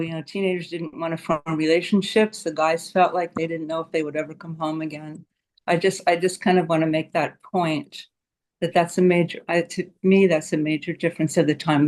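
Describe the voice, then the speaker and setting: Flat-toned American woman in her seventies. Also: low-pitched. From United States. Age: 70s. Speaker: audience member. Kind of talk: comment from the floor.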